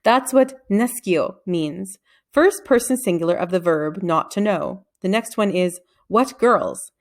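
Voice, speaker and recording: A woman's voice: mid-range voice, lively intonation, a little slow. A woman voicing a nervous, suspicious older male Latin teacher in a children's storybook, explaining things precisely. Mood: scholarly interest.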